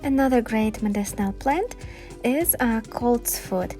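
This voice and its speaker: A woman's voice: a little high, animated, measured pace, dark tone, faint music behind. A woman with a Ukrainian accent, a native Ukrainian speaker, hosting an informal, explanatory gardening vlog with enthusiasm. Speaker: gardening video host.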